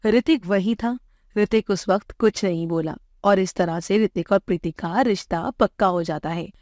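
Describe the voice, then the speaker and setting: A woman's voice: mid-range voice, moderate pace, darkish tone, dry recording, studio quality. A woman reading scripted narration for a moral bedtime story.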